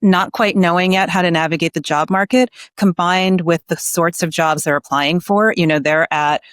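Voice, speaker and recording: A woman's voice: lowish voice, fairly even intonation, moderate pace, no echo, studio quality. A middle-aged woman giving candid, conversational commentary as an interview guest, talkative and full of asides. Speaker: staffing industry researcher, podcast guest.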